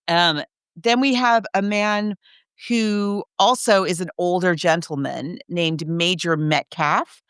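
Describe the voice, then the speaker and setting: Female podcast co-host, smooth clear voice in a dry, close sound, chatty and animated, recapping a story casually. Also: mid-range voice, no echo.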